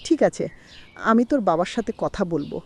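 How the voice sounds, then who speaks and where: A woman's voice: mid-range voice, big pitch swings, fairly quick, slight background noise. Woman acting a loving cartoon mom, plaintive n worried, voice swings up and down, birds behind. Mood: proud, resolved. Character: worried, loving middle-aged mother of a student. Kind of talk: cartoon drama dialogue.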